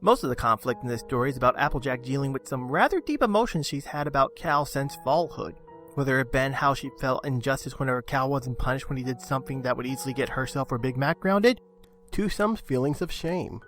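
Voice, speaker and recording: A man's voice: medium pitch, lively intonation, brisk, a bit bright. A man reading a scripted review as a video reviewer. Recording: music under the voice. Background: background music.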